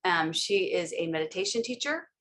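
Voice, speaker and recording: Woman host, introduces guest, lowish voice, emotional and halting. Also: expressive, fairly bright tone, slight background noise. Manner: emotional, gushing, halting with many "um"s.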